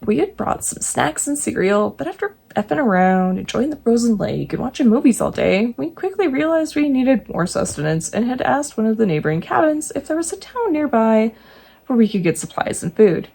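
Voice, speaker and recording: A woman reading true ghost stories aloud, breaking in now and then with jokey, animated asides. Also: fairly high pitch, normal speed, gravelly, breathy edge. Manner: animated, jokey asides between read passages.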